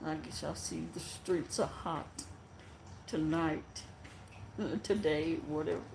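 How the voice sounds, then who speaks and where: A soft-voiced live-stream host chatting casually with viewers in a rambling, halting way before a story reading. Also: medium pitch, fairly even intonation, gravelly, slight background noise. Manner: rambling and halting, with many fillers and trailing sentences.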